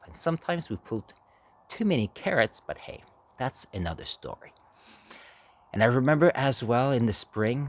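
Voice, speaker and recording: A man giving a storytelling speech as an amateur speaker, halting, with dramatic pauses and emphasis. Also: mid-range voice. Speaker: amateur public speaker practicing a contest speech. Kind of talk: animated storytelling speech, tall tale.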